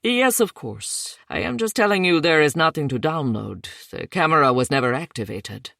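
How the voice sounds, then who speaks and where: Reader putting on indian-accented tech man, precise, blunt, slow, gravelly. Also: mid-range voice, animated, sharp tone, dry recording, clean studio sound. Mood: matter-of-fact. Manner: precise, matter-of-fact. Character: male IT tech, thick Indian accent, matter-of-fact.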